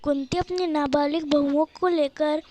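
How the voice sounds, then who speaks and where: A woman's voice: sits high, fairly even intonation, normal speed, a little mellow. A woman reading scripted narration for a moral story as its storyteller.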